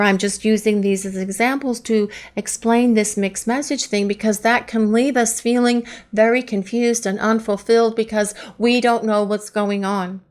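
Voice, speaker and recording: A woman giving a chatty, earnest tarot love reading, her voice hoarse and partly lost to allergies. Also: mid-range voice. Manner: earnest, chatty, pleading questions to the cards. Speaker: tarot reader.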